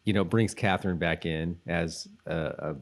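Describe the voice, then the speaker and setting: A man's voice: low-pitched, fairly even intonation, normal speed, creaky. A man co-hosting a podcast, chatting casually in a loose, rambling way as he thinks aloud. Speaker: podcast co-host. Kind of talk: casual chat about a TV episode.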